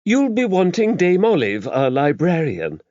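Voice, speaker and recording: A man's voice: on the high side, wildly swooping pitch, a little slow, darkish tone, no echo, muffled recording, voice pushed up. A man voicing a genteel, chatty nun with precise, hospitable speech in an audiobook. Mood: brisk, helpful. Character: outgoing, chatty nun, gently forthright woman. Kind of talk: audiobook character dialogue.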